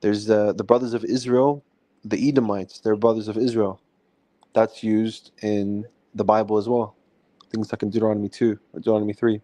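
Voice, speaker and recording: A man's voice: on the low side, level tone, brisk, warm tone, dry recording. A man from Lebanon in an informal religious debate, explaining his argument and often checking with the listener. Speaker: debate panelist.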